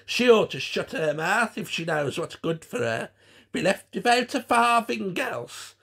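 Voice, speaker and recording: A man voicing a coarse, spiteful, witch-like puppet woman with a croaky Cockney accent and a cackling manner. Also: high voice, sing-song, a little slow, pushed and bright, voice pushed up, heavily acted.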